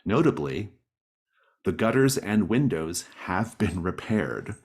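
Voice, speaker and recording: A man's voice: low voice, big pitch swings, slow pace, a little mellow, slightly rough. A man working as game master, narrating and reading rules conversationally while guiding players with encouraging questions. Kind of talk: narrating a tabletop roleplaying game, part read from rules and part conversational.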